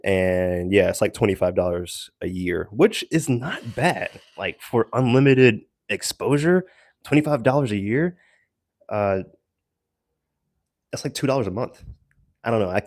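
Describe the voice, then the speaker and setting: A man giving a casual, rambling audio log as a solo creator, haltingly searching for words with self-deprecating asides. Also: fairly low pitch, expressive, darkish tone, dry recording, clean studio sound.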